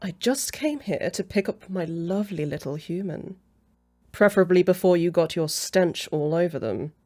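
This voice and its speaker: A woman's voice: lowish voice, moderate pace, dark tone, close to an everyday voice. A woman playing a haughty, jealous female vampire in an ASMR roleplay, with a snippy, sarcastic way of talking. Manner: snippy, sarcastic, easily flustered.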